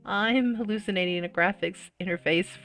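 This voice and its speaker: Woman gamer, mid-pitched, animated story read-aloud with casual reactions, light music underneath. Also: normal speed. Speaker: gamer playing and reading a visual novel aloud.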